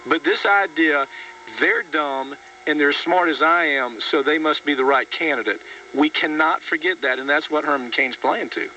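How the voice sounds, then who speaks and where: A man with a slightly high, bright, forceful voice hosts political talk radio. He is sardonic and emphatic, stalling and repeating words to drive points home. The sound is dull and distant, with a faint hum. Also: moderate pace. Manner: mocking, sardonic. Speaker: radio talk show host.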